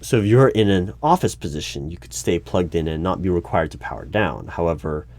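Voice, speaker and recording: A man playing an exasperated, bureaucratic secret agency hiring manager in a one-sided interview roleplay, halting and argumentative as he rephrases himself. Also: low-pitched, animated, a little mellow, slight background noise. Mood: curious, businesslike. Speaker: solo roleplay performer. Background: computer keyboard typing.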